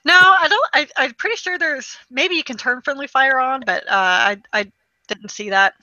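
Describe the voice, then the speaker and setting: A woman talks casually about video games in a fairly high, bright voice. She tells stories animatedly, acting out quotes and swearing freely. The recording is close and dry, a little lo-fi. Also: normal speed, no echo. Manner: animated storytelling with acted-out quotes. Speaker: podcast host.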